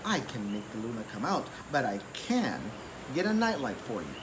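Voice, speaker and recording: A man's voice: mid-range voice, wildly swooping pitch, normal speed, voice pushed down. A man voicing a kindly, helpful iguana who speaks in a soothing, reassuring way. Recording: clearly noisy background.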